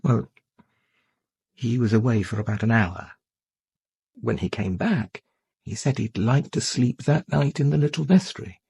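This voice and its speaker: An actor playing a mild parish priest in a radio drama, explaining things politely and a little hesitantly. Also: male voice, mid-range voice, a little slow, vocal fry, long pauses, mellow, breathy edge, no echo, studio quality, exaggerated voice. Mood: subdued, explaining.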